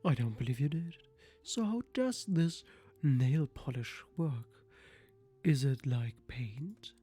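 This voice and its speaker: A woman's voice: very deep voice, lively intonation, mellow, breathy edge, exaggerated voice. A woman with a German accent voicing a haughty, entitled female cat in a visual novel, with formal, pompous phrasing. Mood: haughty, curious. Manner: formal, pompous, slow and theatrical. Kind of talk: acted reading of a visual novel. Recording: music behind the voice.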